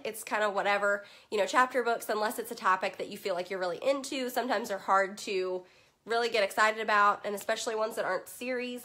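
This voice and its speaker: A woman's voice: mid-range voice, a bit bright. Chatty young woman with a fading voice. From Virginia. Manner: chatty and upbeat, many "um"s, greets viewers by name. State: losing her voice from allergies. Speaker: children's book consultant and host. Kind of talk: casual chat to a live group. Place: home room in front of full bookshelves. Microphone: webcam at desk distance.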